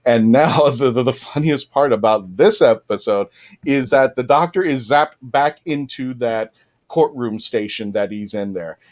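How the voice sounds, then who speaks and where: A man's voice: medium pitch, a little slow. A man hosting a casual fan podcast discussion, talking in a chatty, enthusiastic way. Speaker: podcast host.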